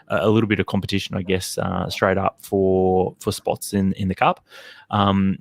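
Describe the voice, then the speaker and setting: Aussie bloke hosting a footy pod, low voice, dry, lots of ums and restarts. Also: male voice, creaky, no room sound. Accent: Australian. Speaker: podcast host. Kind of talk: casual sports chat.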